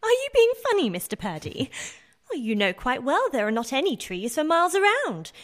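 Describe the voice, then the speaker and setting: A high, very animated young lady of a radio drama, acted by a woman. She is pert and sceptical, with a brisk, questioning manner. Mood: pert, doubtful. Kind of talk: radio play acting.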